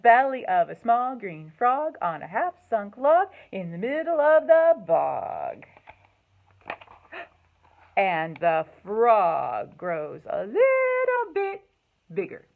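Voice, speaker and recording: A woman hosting a children's storytime, speaking in an expressive, sing-song way to young listeners, in slightly dull, lo-fi sound. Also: a little high, slow, creaky. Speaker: library storytime host. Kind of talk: children's storytime, read-aloud and singalong.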